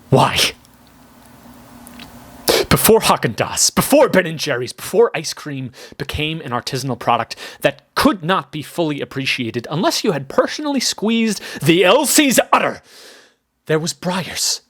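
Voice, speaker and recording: A man giving a dramatic reading of a humorous newspaper essay, theatrical and wry, with mock-grave pauses. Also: a bit bright. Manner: theatrical, with sweeping pitch swings and drawn-out pauses. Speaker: reader of a newspaper column.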